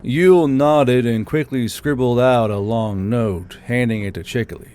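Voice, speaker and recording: A man's voice: medium pitch, measured pace, darkish tone, clean tone. A man reading scripted audiobook fiction as its narrator. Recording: music under the voice.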